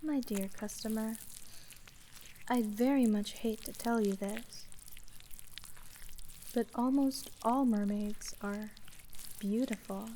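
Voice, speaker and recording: Woman playing a slow, aloof deadpan sea witch. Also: on the high side, a little hiss or hum. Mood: weary, condescending. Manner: monotone, unhurried, dry, measured. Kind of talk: scripted fantasy roleplay ASMR.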